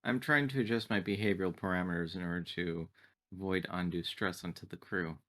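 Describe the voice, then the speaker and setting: Casting: male player as polite game android, deep bright voice, stiffly formal. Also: steady tone, brisk. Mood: formal, deferential.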